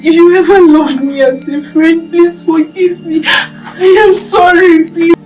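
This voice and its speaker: A woman's voice: high voice, fairly even intonation, slow, darkish tone, far from the mic, faint music behind. A woman with a Nigerian accent acting a remorseful, tearful young friend begging in a melodramatic film. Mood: tearful pleading.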